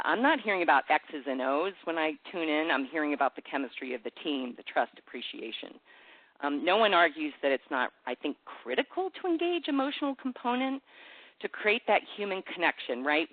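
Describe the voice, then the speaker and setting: A woman's voice: low-pitched, no room sound. A middle-aged woman giving an earnest, emphatic motivational talk as a leadership coach, often posing rhetorical questions, over muffled conference-call audio. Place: conference call, dry close sound. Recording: thin, muffled phone-line audio.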